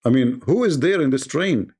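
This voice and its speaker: Combative mocking man with a mellow voice. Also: mid-range voice, expressive, moderate pace, husky. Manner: combative, mocking, repeats questions insistently. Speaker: debate host. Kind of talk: live call-in debate.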